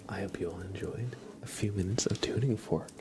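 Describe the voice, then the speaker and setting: A man hosting an ASMR livestream, chatting to viewers gently in a soft, breathy whisper that slips into a murmur. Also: a little fast, very noisy. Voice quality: breathy whisper mixed with low, creaky murmur.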